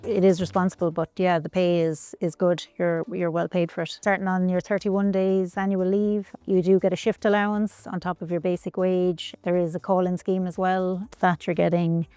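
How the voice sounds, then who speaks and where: A woman's voice: lowish voice, flat delivery, normal speed, few pauses, mellow, smooth, dry recording, faint music behind. A woman in her forties with an Irish accent, explaining her job as an air traffic controller in a conversational way. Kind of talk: conversational question-and-answer explainer.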